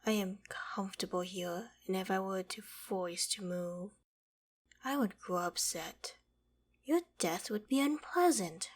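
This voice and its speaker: A woman playing an ancient, haughty dragon in a theatrical ASMR roleplay, with deliberate, imperious phrasing. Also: medium pitch, close to an everyday voice. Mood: cold, threatening. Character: ancient female dragon, haughty, menacing, curious about humans. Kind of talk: ASMR roleplay, dramatic monologue to the listener.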